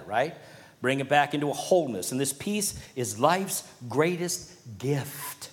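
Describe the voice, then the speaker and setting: A man preaching a sermon, swelling to emphatic points and dropping to quiet, earnest asides. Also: medium pitch, long reverb tail. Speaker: pastor.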